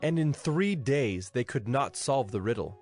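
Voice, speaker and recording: A man's voice: mid-range voice, lively intonation, measured pace, darkish tone. Male scripture narrator over music.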